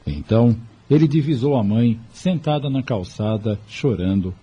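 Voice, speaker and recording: A man with a Brazilian accent narrating a scripted audio drama in a measured, literary storytelling manner. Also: medium pitch, lively intonation, mellow, husky, dull audio.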